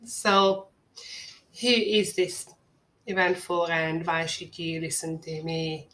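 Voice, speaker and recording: A woman with a Hungarian accent presents a webinar, taking her time over each phrase. Her voice sits on the lower side, and she sounds earnest and persuasive, like a pitch she has rehearsed. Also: flat delivery, fairly bright tone, a little hiss or hum. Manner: earnest, measured, salesy. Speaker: marketing agency owner presenting a webinar.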